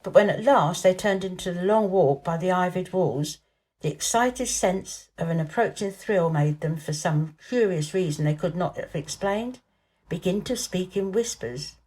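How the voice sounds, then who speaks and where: A woman storyteller giving an expressive scripted narration of a children's novel. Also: fairly low pitch, moderate pace, breathy edge.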